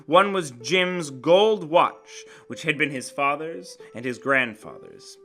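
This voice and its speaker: Animated, bright-voiced man reading a story dramatically. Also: on the high side. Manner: theatrical, expressive, deliberate storytelling. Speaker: narrator and channel host. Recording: acoustic guitar music behind the voice. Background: plucked guitar music.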